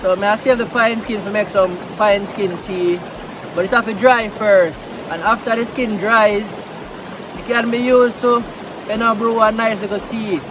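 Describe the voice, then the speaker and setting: Relaxed Jamaican vlogger, a man with a high voice and a Patois accent, filmed outdoors by a river with noisy water behind. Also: normal speed. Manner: relaxed, chatty, mixing Patois into English. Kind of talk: casual vlog talk outdoors.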